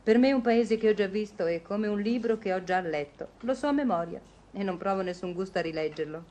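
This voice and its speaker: A woman's voice: medium pitch, a little fast, mellow, lightly acted. Woman acting a poised, frank young lady. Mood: frank, playful. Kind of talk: vintage Italian radio comedy acting.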